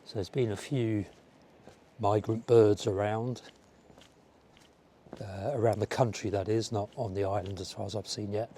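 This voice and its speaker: A man with a slightly croaky voice from a cold, chatting casually and musing aloud as he walks along outdoors. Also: on the low side, steady tone, unhurried, long pauses, warm tone, a little hiss or hum. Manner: rambling, musing aloud to the viewer. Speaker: vlogger. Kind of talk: casual outdoor walking vlog chat. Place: outdoors, walking by a village road and seafront. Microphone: small wireless clip-on mic.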